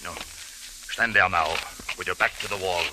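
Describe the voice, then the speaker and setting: An actor playing a menacing foreign killer obsessed with gold, speaking formally and deliberately in an old radio drama. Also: male voice, sits low, steady tone, sharp tone. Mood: cold menace. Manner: formal, deliberate, taunting phrasing. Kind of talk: 1940s-50s radio drama acting. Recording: hissy, crackly old radio recording.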